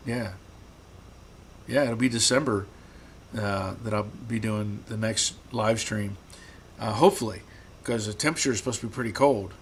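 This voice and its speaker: A man hosting a live stream from his garage, answering viewer comments in a relaxed, halting way, repeating words, his voice getting rough after long talking. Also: lowish voice, slight background noise. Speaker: host.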